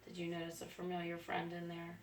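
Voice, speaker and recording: A woman's voice: fairly low pitch, completely flat delivery, fairly quick. A woman reading a picture book aloud to young students, stopping often for friendly remarks. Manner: gentle, adds friendly remarks to young listeners. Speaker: school staff reading to students. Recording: echoey room, far from mic, faint noise.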